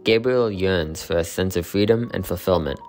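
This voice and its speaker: A man with a very deep voice narrates a literary video essay. His scripted delivery is steady and explanatory. Faint music plays beneath him. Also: moderate pace.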